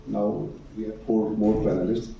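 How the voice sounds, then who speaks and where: Gravelly man moderating in an echoey hall. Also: fairly low pitch, flat delivery, normal speed, highs cut off. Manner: formal, hesitant. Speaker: panel moderator. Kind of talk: moderating a panel discussion. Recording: echoey hall from far off, faint noise.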